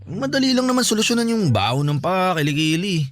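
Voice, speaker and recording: A man's voice: sits high, big pitch swings, fairly quick, faint music behind. An actor playing a persistent, lovestruck young man in a radio drama, playful and insistent. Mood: smitten. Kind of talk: Tagalog radio drama acting.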